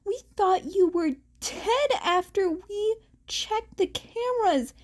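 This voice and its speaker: A woman voicing a brash, fierce female rabbit hero in a story, loud and blunt. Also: extremely high pitch, expressive, takes it slowly, voice pushed up, exaggerated voice. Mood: scolding, relieved.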